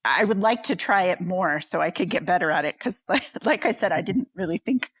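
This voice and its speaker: A woman's voice: lowish voice, animated, fairly quick, breathy edge, dry recording. A woman in casual conversation, talking in a self-deprecating way.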